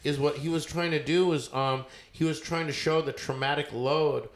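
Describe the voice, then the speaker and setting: A middle-aged man with an American accent, a podcast host talking excitedly in a joking and profane way, often cutting in, on a handheld mic. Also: on the high side, moderate pace. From born in India. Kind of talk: casual chat. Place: living room podcast set. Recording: roomy living room sound.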